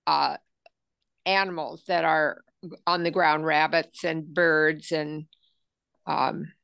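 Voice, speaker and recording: A woman in an online class speaks very slowly, stopping often to search for words. Her voice is smooth and clear, a little low, and sounds dry and close to the mic. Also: steady tone, a bit bright, no room sound. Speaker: class participant. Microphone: video call.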